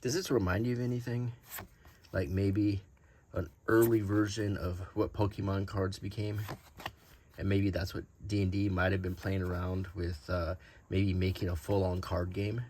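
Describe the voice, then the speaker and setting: A man with a low voice rambles casually through an unscripted show-and-tell. He is unhurried and thinks aloud as he goes, with some noise behind him. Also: level tone, darkish tone. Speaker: host showing vintage game cards.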